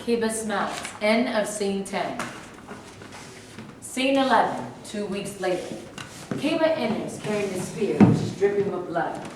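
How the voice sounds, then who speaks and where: A woman's voice: mid-range voice, big pitch swings, a little slow. A woman reading the stage directions aloud in a plain, matter-of-fact way. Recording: noisy echoey hall from far off.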